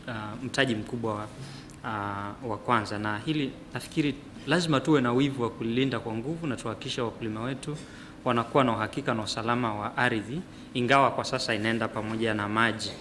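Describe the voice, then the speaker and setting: A man with a Tanzanian accent whose first language is Nyaturu, speaking on a panel as a farmer representative in a measured, hesitant way. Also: medium pitch, a bit bright, a little hiss or hum. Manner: measured, hesitant, many fillers. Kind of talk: panel remarks mixing Swahili and English.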